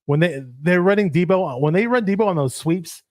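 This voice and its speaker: A man whose first language is Arabic, co-hosting a casual sports livestream and shouting out viewers in the chat. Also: high-pitched, brisk, no echo, clean studio sound. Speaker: podcast co-host.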